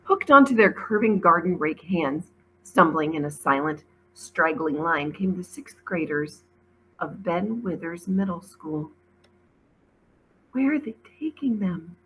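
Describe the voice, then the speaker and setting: A woman, a teacher reading a storybook aloud with expressive narration. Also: lowish voice, measured pace, slight background noise, rough recording.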